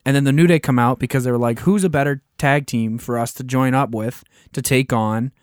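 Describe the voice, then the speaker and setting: A man's voice: mid-range voice, fairly even intonation, a little fast, clear, resonant tone, dry recording, clean studio sound. A man co-hosting a podcast in casual chat, slightly losing his voice and recapping with many ums and restarts. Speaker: podcast co-host.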